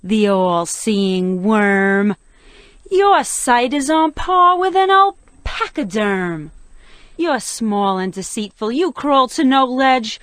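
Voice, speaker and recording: A woman's voice: on the high side, sing-song, slow pace, a bit bright, clean tone, no echo, studio quality, full character voice. A woman playing a small, scornful wren defense lawyer, cross-examining pointedly in a comic rhymed radio play.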